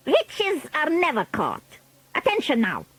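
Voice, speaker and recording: A haughty old witch queen, high voice, wild swoops, broken grammar, dry sound. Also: wildly swooping pitch, unhurried, a bit bright, no echo, heavily acted. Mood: scheming. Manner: grandiose, broken grammar, commanding. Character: scheming, pompous old female witch leader. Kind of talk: storybook reading.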